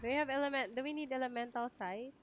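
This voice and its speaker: A woman's voice: high-pitched, quick pace, noisy background. A woman, a native Filipino speaker, giving casual live gameplay commentary as a streamer, thinking aloud with exclamations. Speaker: game streamer.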